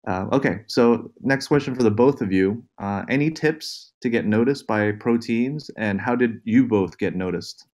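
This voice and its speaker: A man's voice: mid-range voice, normal speed, few pauses, a little mellow. An easygoing man reading out questions and chatting casually as interviewer. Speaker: interviewer reading fan questions, team executive. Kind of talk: casual Q&A chat.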